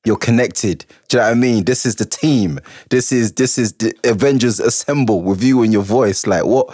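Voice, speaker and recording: A man's voice: mid-range voice, normal speed, a little mellow, slightly rough, no room sound, clean studio sound. A man hosting a casual discussion, informal and enthusiastic, often feeling his way through questions. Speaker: interviewer, host. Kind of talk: casual chat.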